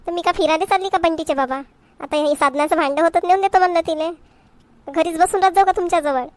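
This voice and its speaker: A woman's voice: extremely high pitch, quick pace. A woman voicing a homely, chatty village housewife and mother in a cartoon comedy, with a Varhadi Marathi accent. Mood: exasperated. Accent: Varhadi Marathi of Vidarbha. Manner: chatty, homely, repetitive phrasing. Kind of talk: amateur cartoon comedy dialogue.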